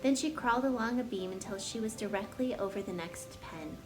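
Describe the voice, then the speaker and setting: A woman's voice: medium pitch, fairly quick, over music, low-quality recording. A woman narrating a children's story as a storyteller, reading aloud expressively.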